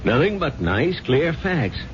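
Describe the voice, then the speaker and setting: An actor in a 1970s radio drama playing a scornful, no-nonsense newspaper editor who talks in a brusque, sarcastic way. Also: male voice, fairly high pitch, big pitch swings, slow. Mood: irate, scornful. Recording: dull old radio broadcast, faint noise.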